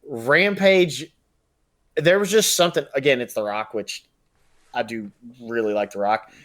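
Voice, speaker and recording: A man with a medium-pitched voice speaks as a podcast co-host. His delivery is casual and conversational, like relaxed banter over a game between co-hosts. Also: unhurried. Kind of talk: casual chat, running a movie bracket game.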